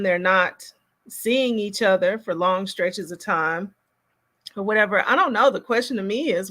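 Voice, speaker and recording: Female reaction host, casual and unhurried, halting delivery as she works through her opinion. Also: medium pitch, a bit bright. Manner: halting, musing, thinks aloud.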